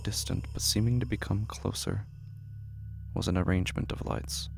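A man narrating a horror audio drama in a slow, hushed storytelling manner. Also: lowish voice, steady tone, creaky, slight background noise.

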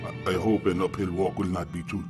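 Radio drama role for a male actor: commanding guide, smoothly courteous yet sinister, lowish voice, music underneath. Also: level tone, brisk, close to an everyday voice. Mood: courtly. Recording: music and crowd behind the voice.

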